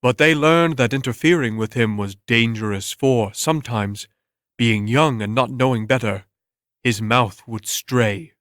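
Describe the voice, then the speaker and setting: A man reads a story as an audiobook narrator, with a measured and deliberate delivery. His voice sits at a medium pitch. The recording is close and dry. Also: a bit bright, no room sound. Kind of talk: scripted dramatic story narration.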